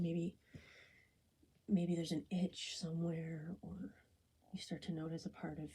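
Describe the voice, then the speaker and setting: Female meditation guide: very mellow, lowish voice, soft and breathy, gentle soothing delivery that drifts into whispering. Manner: gentle, unhurried, soothing instruction. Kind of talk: guided body scan meditation.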